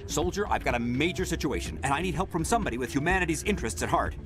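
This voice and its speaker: Man acting a desperate, wildly animated negotiator. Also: fairly high pitch, very fast, close to an everyday voice. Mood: urgent, brisk. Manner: agitated, self-justifying, pleading. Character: desperate, pushy male human negotiator hooked on stimulants. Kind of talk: video game dialogue acting. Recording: music playing behind the voice. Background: loud music.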